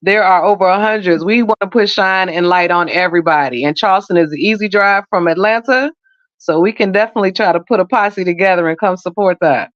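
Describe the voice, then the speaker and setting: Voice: medium pitch, brisk, a bit bright, dry recording, lo-fi sound. A podcast co-host giving brief, direct prompts in a casual chat.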